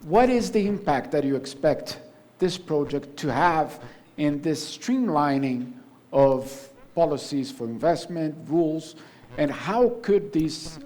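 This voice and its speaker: A man moderating a conference panel, asking a long, halting question. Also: fairly high pitch, darkish tone.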